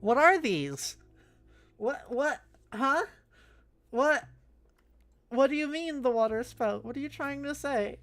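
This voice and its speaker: A woman's voice: high voice, lively intonation, slow, long pauses. A woman hosting a casual livestream, playful and sweary, reacting aloud with music behind her. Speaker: livestream host. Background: faint music.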